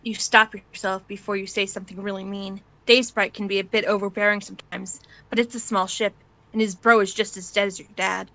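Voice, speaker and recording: A woman's voice: medium pitch, flat delivery, a little fast, fairly bright tone, no room sound, poor audio. A woman narrating a piece of fan fiction in the second person, as an amateur reader.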